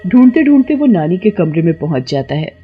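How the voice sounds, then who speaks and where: A woman's voice: lowish voice, big pitch swings, a little fast, phone-quality sound, soft background music. A woman reading scripted cartoon story narration as the narrator.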